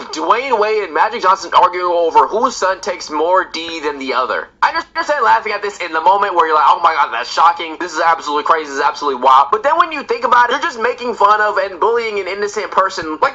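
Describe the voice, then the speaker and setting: A man's voice: high-pitched, expressive, quick pace, few pauses, sharp tone, lo-fi sound. A young man in an earnest commentary video, explaining his views and reading online posts aloud.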